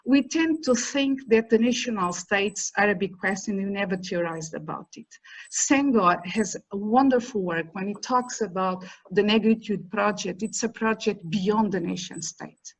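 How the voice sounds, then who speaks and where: A middle-aged woman academic speaks at a roundtable in English, which is not her first language. Her delivery is measured and emphatic. She restarts phrases and repeats words as she lays out her argument. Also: medium pitch, rough recording. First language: a language other than English or Portuguese. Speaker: academic panelist. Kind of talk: roundtable talk.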